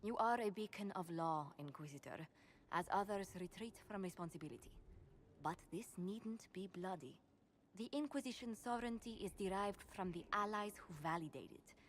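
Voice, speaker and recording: A woman playing a poised diplomatic advisor in a fantasy game, speaking in a measured, courtly and earnest manner. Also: mid-range voice, expressive, slight background noise, natural voice. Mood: formal, persuasive. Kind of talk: video game dialogue.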